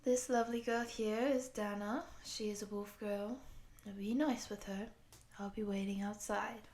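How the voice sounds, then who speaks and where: A woman playing a courteous exchange program coordinator, speaking to the listener in an orderly, measured way in an audio roleplay. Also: mid-range voice, fairly even intonation, a little mellow, a little hiss or hum, close to an everyday voice. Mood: soft, businesslike.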